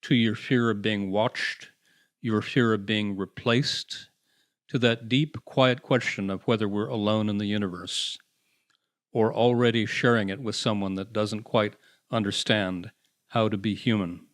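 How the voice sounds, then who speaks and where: A man delivering scripted paranormal mystery narration with a dramatic, deliberate build-up. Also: fairly low pitch, fairly even intonation, dry recording, studio quality. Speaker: narrator, host.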